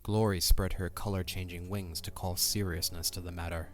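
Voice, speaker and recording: A man's voice: sits low, level tone, normal speed, soft background music. A man narrating a fantasy audio drama from a script.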